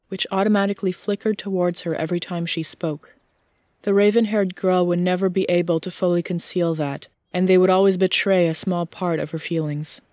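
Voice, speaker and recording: A woman reading scripted fiction as an audiobook narrator, in a measured storytelling manner. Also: fairly low pitch, darkish tone, no room sound.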